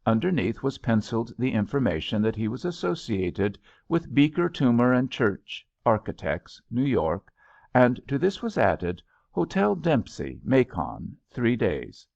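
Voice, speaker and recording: Gravelly, expressive male audiobook narrator. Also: mid-range voice, normal speed, no room sound. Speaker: audiobook reader. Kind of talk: scripted literary narration of a love story. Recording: slightly dull, dry lo-fi recording.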